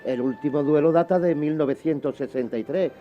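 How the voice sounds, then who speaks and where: A man's voice: on the high side, fairly quick. A man co-hosting a radio show, chipping in with casual, colloquial remarks. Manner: colloquial, interjecting. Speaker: radio co-host. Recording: dull lo-fi radio audio, music underneath. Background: background music.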